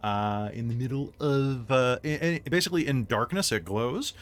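A man acting as game master of a live tabletop game, giving rulings in a playful, halting way with fillers. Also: medium pitch.

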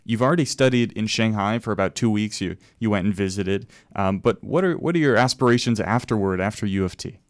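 A man's voice: on the low side, brisk, hardly any pauses, no room sound, clean studio sound. A man hosting an interview, asking curious follow-up questions. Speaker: host.

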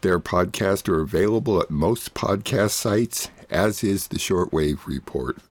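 A man's voice: deep voice, expressive, measured pace, no echo. A man hosting his own radio program, reading announcements from a script. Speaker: radio show host and producer.

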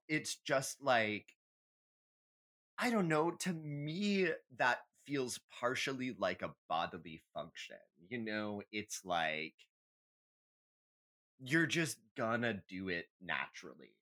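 This is a podcast co-host with a very lively, swooping delivery and an unhurried pace. The talk is casual, emphatic and opinionated, with blunt swearing in the manner of a comic rant. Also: mid-range voice.

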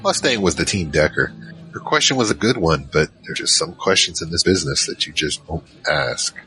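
A man's voice: rumbling low, expressive, moderate pace, sharp tone, soft background music, heavily acted, rough recording. A man playing an easygoing, nerdy troll mage who narrates his own story in a casual, rambling, slangy way for an audio drama. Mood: wry reminiscing. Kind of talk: conversational first-person drama narration.

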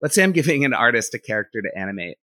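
A man in his thirties, a freelance animation director, talking casually as a podcast guest; talkative, he thinks out loud with lots of filler "likes". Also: medium pitch, big pitch swings, rapid-fire, dry recording, clean studio sound.

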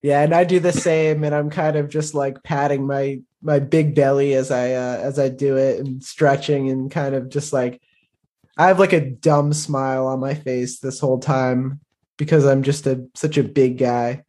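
A man playing a tabletop roleplaying game, casually describing his character's actions in a halting, hesitant way. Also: mid-range voice, steady tone, dark tone. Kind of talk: casual improvised roleplay narration.

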